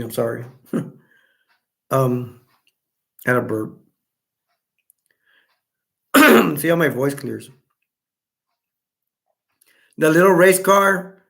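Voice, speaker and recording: A man hosts a live chat stream, reading out viewer comments and wandering from one thought to the next. His voice sits somewhat high and has a gravelly fry in it. It is getting hoarse as he talks. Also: fairly bright tone. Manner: rambling, self-correcting, chatty. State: voice going hoarse.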